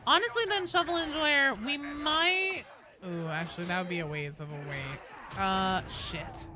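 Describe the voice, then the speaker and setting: A woman live streaming a game, chatting casually and excitably with her viewers and swearing freely, with game sound behind her. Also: on the high side, a little slow, noisy background. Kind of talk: casual live stream chat with gameplay reactions.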